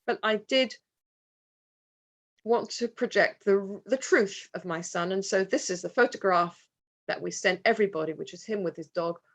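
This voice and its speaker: A woman presents at a conference in a reflective, earnest way. Her voice sits in a middle pitch. She often pauses and restarts her sentences as she works through her slides. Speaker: conference speaker, parent. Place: conference hall.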